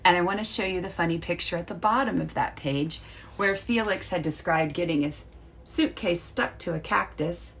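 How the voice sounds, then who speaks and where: A woman teacher reading a storybook aloud and explaining it to children in a deliberate, instructive way with drawn-out emphasis. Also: lowish voice, gravelly, fairly bright tone, low-quality recording. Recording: faint background noise.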